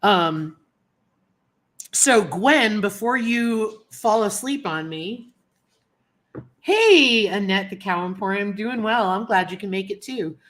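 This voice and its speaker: A woman's voice: medium pitch, lively intonation, slow, fairly bright tone. A woman hosting a casual livestream, chatting with viewers by name and a little sniffly from a cold. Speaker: livestream host. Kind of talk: casual live chat greeting viewers.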